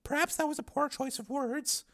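Man putting on a very high, anxious woman scientist. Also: fairly even intonation, a bit bright. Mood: flustered, defensive. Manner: halting, pleading, explanatory. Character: defensive, anxious woman scientist, insistent but uneasy.

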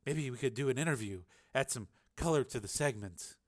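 A man voicing a quick-witted, nervy woman TV reporter in an audiobook, soft-spoken and smooth-talking. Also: medium pitch, lively intonation, lightly acted. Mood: flirtatious.

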